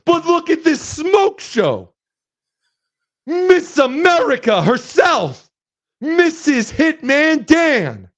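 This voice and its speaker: Hoarse squeaky braggart troll. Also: male voice, big pitch swings, heavily acted. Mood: boastful. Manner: boastful, taunting, drawn-out delivery. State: hoarse, losing his voice. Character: brash shouting online troll who calls himself Daddy. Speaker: guest host. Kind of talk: live stream chat. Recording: dull lo-fi stream audio.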